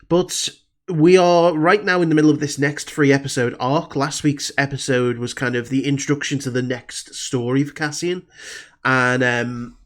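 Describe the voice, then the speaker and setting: A man's voice: medium pitch, a bit bright. Bunged-up British man rambling casually. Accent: British English. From Britain. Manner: rambling, many fillers and restarts. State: slightly congested from a cold. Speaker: reaction video host. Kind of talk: casual review chat.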